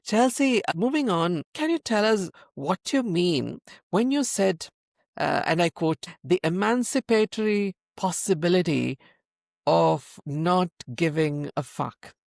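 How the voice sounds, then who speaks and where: A man's voice: high-pitched, animated, slow, gravelly, no room sound, studio quality. A man from Sri Lanka hosting an interview, speaking emphatically and repeating points for stress. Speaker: host. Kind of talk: conversational interview.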